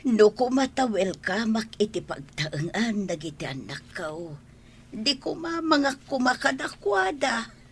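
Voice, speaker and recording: A woman with an Ilocano accent playing a gentle, long-suffering elderly mother in a radio drama. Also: on the high side, very animated, a little slow. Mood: humble, weary. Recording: noisy ambience behind the voice.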